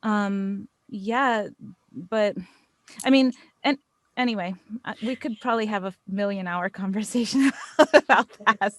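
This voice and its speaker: An American woman chatting casually as a podcast guest, telling anecdotes in an animated, self-deprecating way. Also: medium pitch, a little slow, dry recording, clean studio sound. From United States. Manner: animated storyteller, self-deprecating, acts out quotes. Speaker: podcast guest, voice actor and business owner.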